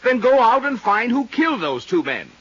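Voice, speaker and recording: An actor playing an anxious, indignant, loyal gentleman in 1950s radio drama, agitated and haughty in his protests. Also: extremely high pitch, big pitch swings, normal speed, breathy edge, heavily acted. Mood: exasperated. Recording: dull old radio recording.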